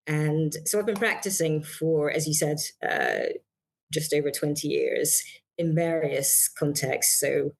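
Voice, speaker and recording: A middle-aged woman from Barbados, a psychiatrist answering interview questions in a measured way, with many fillers and restarts. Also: low-pitched, big pitch swings, gravelly. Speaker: guest psychiatrist.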